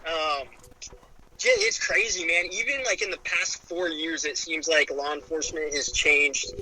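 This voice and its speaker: A man's voice: on the high side, a little slow, sharp tone, breathy edge, rough recording. A man in his late twenties, a police officer, chatting casually as a guest in a laid-back way, saying "dude" and "man" often. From Arizona. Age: 20s. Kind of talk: casual chat.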